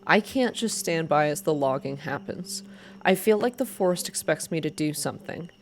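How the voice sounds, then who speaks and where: A woman's voice: deep voice, animated, moderate pace, a little hiss or hum. A woman voicing an earnest, restless young woman activist in a story reading.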